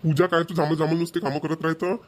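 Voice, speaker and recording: Male actor, nagging young husband, cartoon, Vidarbha Marathi accent, brisk delivery, slightly high-pitched. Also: flat delivery, darkish tone, close to an everyday voice. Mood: impatient. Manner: nagging, complaining. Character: harried young husband, exasperated, thrifty and scolding. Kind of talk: amateur cartoon voice acting.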